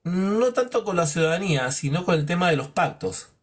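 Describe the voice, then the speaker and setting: A man's voice: sing-song, fairly quick, slightly rough. A man teaching a Bible lesson in a preaching style, asking rhetorical questions and acting out imagined dialogues. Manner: emphatic, drops low on verdicts.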